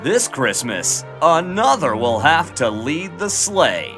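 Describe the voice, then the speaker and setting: Movie-trailer announcer, male actor, portentous scripted read, animated delivery over music. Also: on the high side, takes it slowly, heavily acted.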